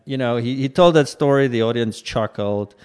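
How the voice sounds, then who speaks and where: A man's voice: mid-range voice, normal speed, a little mellow, clean tone. A man from Armenia, a startup co-founder answering interview questions thoughtfully, with fillers and restarts.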